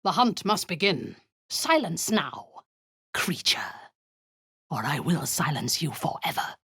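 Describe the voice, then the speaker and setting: A woman playing a cruel, haughty drow cult commander in a video game, with a breathy, husky voice and a commanding, menacing manner. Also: low-pitched, very animated, measured pace, fairly bright tone, full character voice. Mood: contemptuous, threatening. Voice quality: breathy, husky, often dropping to a whisper. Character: imperious, cruel female drow cult commander, seductive and zealous.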